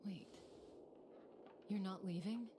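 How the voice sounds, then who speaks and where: A woman playing a composed, calculating operative in a video game, speaking in a measured, poised way. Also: mid-range voice, noisy background, close to an everyday voice. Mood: calm, controlled.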